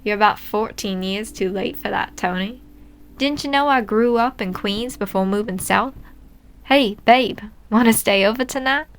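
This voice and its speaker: A woman's voice: mid-range voice, normal speed, clear, resonant tone, lightly acted. Woman voicing a cheeky, confident teenage girl. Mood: teasing.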